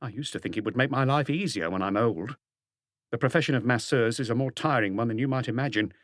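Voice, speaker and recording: A man's voice: mid-range voice, fast, no echo, studio quality, lightly acted. A man voicing a stubborn, guarded middle-aged working woman who gives curt, evasive answers in an audiobook. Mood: defensive.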